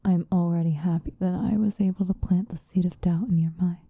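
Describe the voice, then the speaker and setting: A woman playing an obsessive, possessive lovesick woman in an intimate, whispered ASMR roleplay, with a breathy voice. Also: on the low side, fairly even intonation, dry recording, narrow, tinny audio. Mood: possessive, resigned. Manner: slow, intimate, pleading.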